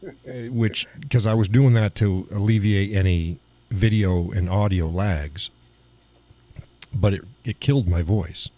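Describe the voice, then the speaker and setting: Deep-voiced guy hosting his live stream, bit of gravel, chuckles a lot, rambles on. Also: unhurried, mellow. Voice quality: slight gravel in voice. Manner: rambling, chuckling between remarks. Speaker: live show host. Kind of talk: casual live-stream chat. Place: home studio in a weight room. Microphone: studio mic through mixer board.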